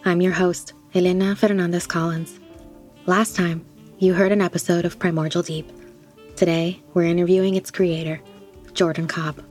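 A woman's voice: on the low side, level tone, normal speed, darkish tone, faint music behind. A woman speaking as a podcast host and interviewer. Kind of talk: scripted podcast introduction, then casual interview chat.

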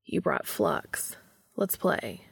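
A woman voicing a tired, earnest, awkward young man in an audiobook, speaking softly and plainly. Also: fairly low pitch, big pitch swings, extremely slow, vocal fry, husky, full character voice. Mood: weary, gentle.

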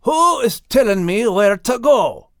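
A spunky, folksy little dog with a Scottish accent. The pitch swings very widely and the delivery is slow and questioning, recorded close and dry. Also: male voice, very animated, dry recording, exaggerated voice. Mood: suspicious, demanding. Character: spunky little male Scottish terrier, plucky and wary.

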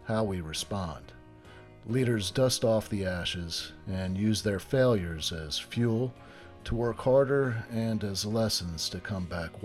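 A man hosting a podcast interview, speaking with frequent fillers and restarts. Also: low-pitched. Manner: halting, many fillers and restarts. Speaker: podcast host.

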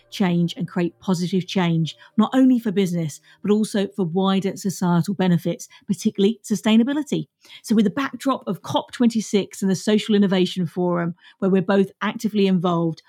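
Host: woman, enthusiastic interviewer, mid pitch, soft music under her voice. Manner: warm enthusiastic questioning, some restarts. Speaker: podcast host. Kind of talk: interview hosting.

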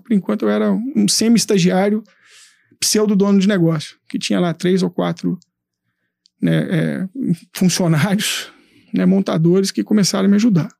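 A man telling his business story in a casual interview, animated, swearing and acting out his past thoughts. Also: sits high, gravelly, dry recording, clean studio sound. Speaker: entrepreneur guest.

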